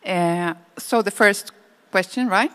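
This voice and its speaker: A woman giving an impromptu summary to a room, halting as she goes item by item. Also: mid-range voice, a bit bright. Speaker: government agency unit head. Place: live event room.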